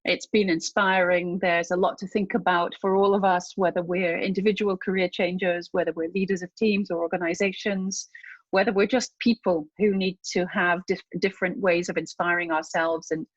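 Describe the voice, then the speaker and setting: Female podcast host, low-ish husky voice, affirming and light, dull dry audio. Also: fairly even intonation, moderate pace, no room sound. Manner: warm affirming replies, gentle joking. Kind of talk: interview hosting.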